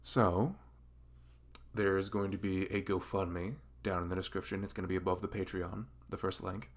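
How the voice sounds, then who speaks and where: A man's voice: sits low, steady tone, moderate pace. A man with a velvety voice making a casual, earnest, rambling announcement as an audio creator.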